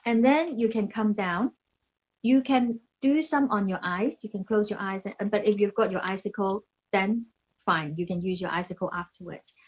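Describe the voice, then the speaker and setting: A woman's voice: medium pitch, moderate pace, slightly rough, rough recording. A woman from Hong Kong, a facialist and brand founder, casually explaining and demonstrating products in a hesitant way, repeating herself as she looks for phrasing. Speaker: beauty brand founder and facialist giving a demo.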